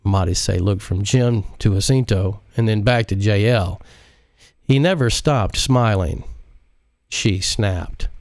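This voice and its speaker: A man reading his own novel aloud in a live-stream audiobook, in steady, even storytelling. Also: on the low side, slow, no room sound, studio quality.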